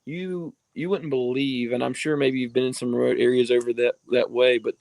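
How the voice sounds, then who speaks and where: A man's voice: medium pitch, fairly even intonation, normal speed, vocal fry, a little mellow, no room sound. American man telling travel stories. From United States. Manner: storytelling, many fillers like "I mean" and "you know". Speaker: podcast guest. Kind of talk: casual podcast conversation.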